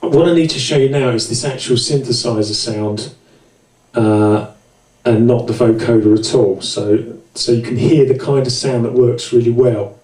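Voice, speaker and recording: A man presenting an informal hands-on synthesizer tutorial in a conversational, explanatory way, with hesitant pauses. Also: medium pitch, a little mellow. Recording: roomy echo, distant microphone.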